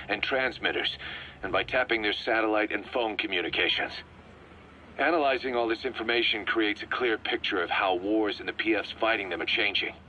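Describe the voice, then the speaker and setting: Embittered male commander, game briefing, low voice, hard bright edge, clipped delivery, muffled radio tape with slight hiss. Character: embittered, vengeful male military commander, wounded veteran. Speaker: military commander briefing his boss. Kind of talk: acted radio-style briefing in a video game. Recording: band-limited radio tape, faint noise.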